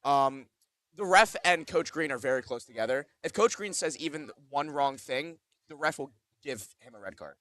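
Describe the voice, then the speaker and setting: A man giving casual, opinionated color commentary at a college soccer match, thinking aloud with fillers and drawn-out phrases. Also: medium pitch, animated, pushed and bright.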